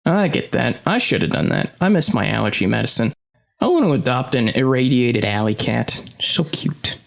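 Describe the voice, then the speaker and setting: An actor as a whimsical fight organizer, wildly swooping pitch, creaky, teasing, casual, audio drama. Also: sing-song, normal speed. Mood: playful.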